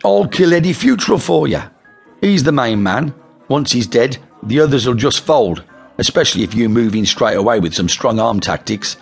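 A man's voice: mid-range voice, moderate pace, husky, faint music behind, pitched above the performer's own voice. A man voicing a nervous, lying male crook from Birmingham who talks eagerly and pushily, with a Birmingham accent. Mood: urgent. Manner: eager, pushy, blurting.

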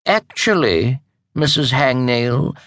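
A man's voice: medium pitch, animated, slow, a bit bright, no room sound, clean studio sound, pitched above the performer's own voice. A man reading aloud and voicing a haughty, proper lady witch.

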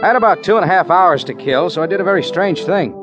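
A man's voice: high voice, expressive, fast talker. A man narrating a hardboiled radio drama in a laconic, wry manner with clipped sentences. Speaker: lead actor and narrator. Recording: dull 1940s radio recording with music.

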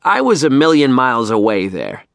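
A man's voice: medium pitch, wildly swooping pitch, moderate pace, creaky, a bit bright, dry recording, full character voice. A man voicing a wistful, reflective old scientist in an audiobook story. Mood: apologetic. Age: older.

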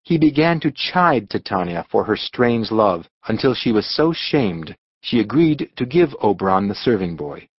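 A man narrating a storybook as an audiobook reader, in a dramatic, expressive scripted style. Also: lowish voice, normal speed, breathy edge, dry recording, muffled recording.

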